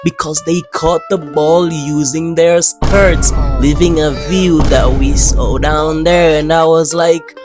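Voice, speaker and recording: A young man from Tanzania, telling funny childhood stories in a slangy, animated way. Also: on the high side, takes it slowly, hardly any pauses, music in the background. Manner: animated, slangy, playful delivery with dramatic swings. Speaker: animator narrating his own channel. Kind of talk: comedic storytime narration.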